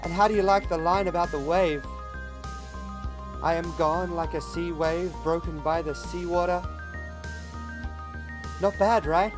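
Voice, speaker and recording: A man voicing a young blonde woman poet in a put-on Finnish accent, speaking slowly and casually. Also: fairly high pitch, fairly even intonation, over music, voice pushed up, natural voice. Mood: proud. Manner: slow and casual.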